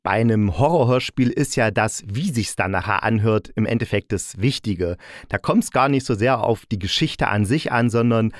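A man's voice: on the low side, normal speed, hardly any pauses, no echo. Man reviewing in a dry studio sound. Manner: chatty, self-correcting. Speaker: podcast host and audio drama reviewer. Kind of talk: informal spoken review.

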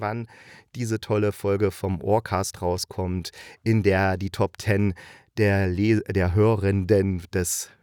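A man's voice: lowish voice, a little mellow, no echo, clean studio sound. A man hosting a casual review podcast, talking in an enthusiastic, colloquial and rambling way. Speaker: podcast host reviewing radio plays. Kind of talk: casual chatty review monologue.

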